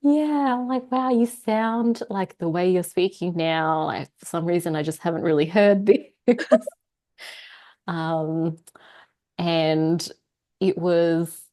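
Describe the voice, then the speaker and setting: A woman's voice: lowish voice, takes it slowly, warm tone. A young woman guest on a podcast, talking casually with frequent laughs and hesitant fillers. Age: young adult. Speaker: guest psychologist. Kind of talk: casual interview chat.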